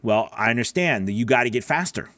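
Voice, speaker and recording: A man's voice: mid-range voice, animated, quick pace, a bit bright, no room sound. A man hosting a casual livestream Q&A as a voice-over coach, rambling with many fillers and restarts.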